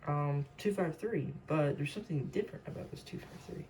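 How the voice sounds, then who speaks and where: A man giving a casual, unscripted show-and-tell as a hobbyist collector, rambling and halting as he corrects himself. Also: on the high side, darkish tone, slight background noise, rough recording.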